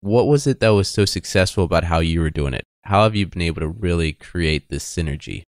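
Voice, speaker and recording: A man hosts an interview and puts long, framing questions to his guest. His voice sits fairly low, and the recording is dry and close. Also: expressive, fairly quick, no echo. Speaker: podcast host.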